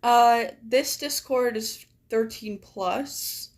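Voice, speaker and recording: A young woman streaming and chatting casually with viewers, her voice a little raspy and deeper from just waking up, talking in a rambling way full of "like". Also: drawn-out words. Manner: chatty, filler-heavy, many "like"s. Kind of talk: casual live-stream chat.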